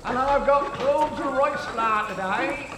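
An actor playing a brisk medieval spice seller in an audio drama, hawking wares with chummy patter. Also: male voice, extremely high pitch. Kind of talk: audio drama acting, street market calling. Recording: echoey, noisy street scene with clopping hooves.

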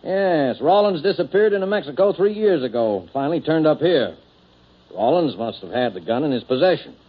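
An actor playing a matter-of-fact police captain reporting evidence briskly in 1940s radio drama style. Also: male voice, on the high side, lively intonation, husky. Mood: businesslike. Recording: dull, muffled old radio recording.

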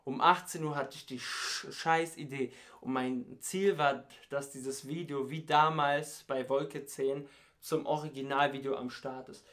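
A man's voice: mid-range voice, steady tone, measured pace, fairly bright tone. A young man, a YouTuber, chatting casually in a vlog, tired and sleepless, with a stutter and heavy youth slang. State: tired, has not slept. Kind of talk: casual vlog chat with rap parody bits.

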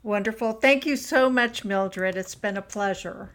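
A woman's voice: medium pitch, measured pace. A woman hosting a podcast, wrapping up an interview with a sign-off. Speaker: podcast host.

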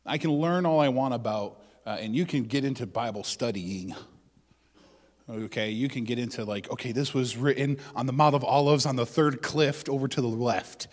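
A male pastor giving a conversational sermon, with joking asides and loud bursts of emphasis. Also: mid-range voice, normal speed, long reverb tail.

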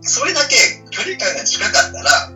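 A man co-hosting a casual radio talk show, eagerly pitching ideas with rhetorical questions. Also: wildly swooping pitch, a little fast, pushed and bright. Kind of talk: casual chat. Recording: roomy, distant, dull sound with faint music.

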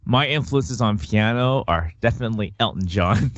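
A man's voice: on the low side, lively intonation, normal speed, no echo. A male musician talking as an interview guest, chatty and rambling, often restarting his words. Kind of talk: casual interview. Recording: dull, lo-fi, dry sound.

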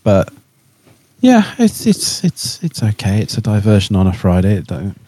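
Male podcast co-host, lowish and dark-toned, informal, halting delivery. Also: huge pitch swings, breathy edge, dry recording. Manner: halting, stop-start. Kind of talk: casual chat.

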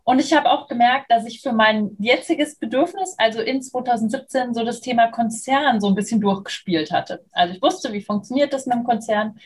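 Slightly husky, higher-voiced woman in her thirties. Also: brisk, hardly any pauses. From Munich, southern Germany. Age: 30s. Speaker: interview guest, freelance trainer and moderator. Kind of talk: casual conversational interview.

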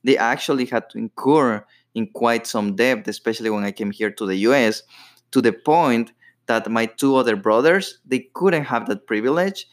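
A man in his thirties hosts a solo podcast, talking in English with an Ecuadorian Spanish accent. His talk is casual and rambling, with loose phrasing and plenty of fillers. His voice sits at an ordinary middle pitch. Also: moderate pace. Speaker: podcast host.

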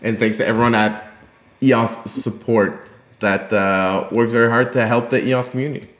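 A man's voice: medium pitch, fairly even intonation, normal speed. A man hosting a community podcast, asking guests questions in a friendly, casual way with frequent fillers. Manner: friendly, filler-heavy interviewer. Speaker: podcast host. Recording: roomy echo.

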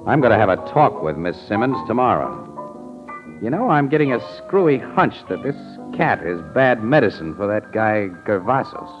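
An actor playing a brisk, slangy American newspaper crime photographer in a 1940s radio drama. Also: male voice, lowish voice, big pitch swings, over music. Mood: determined. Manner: colloquial, slangy. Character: brisk, wisecracking male newspaper crime photographer, hunch-driven. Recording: muffled, echoey old-time radio recording.

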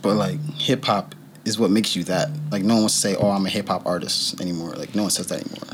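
A man's voice: low voice, steady tone, quick pace, noisy background. A young man, a rapper being interviewed, talking loosely with frequent laughs and restarts. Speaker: guest rapper. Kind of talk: casual interview chat.

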